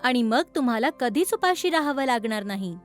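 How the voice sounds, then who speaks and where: A woman voicing a kind, gentle fairy in a children's cartoon story, explaining things in a measured, instructive way. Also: fairly high pitch, animated, soft background music, close to an everyday voice. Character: kind fairy granting a magical boon, gentle.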